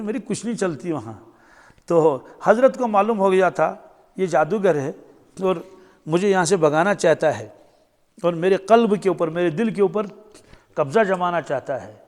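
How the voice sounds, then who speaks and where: A man preaches in a high-pitched voice. He teaches in a storytelling sermon style, repeating phrases and questioning his listeners. His words ring out with long reverberation in a large hall. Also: a little mellow.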